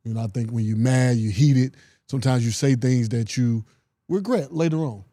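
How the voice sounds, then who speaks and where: A man's voice: medium pitch, normal speed, dark tone, no room sound, clean studio sound. A man co-hosting a podcast, telling stories in loose casual chat with playful mock anger. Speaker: podcast co-host.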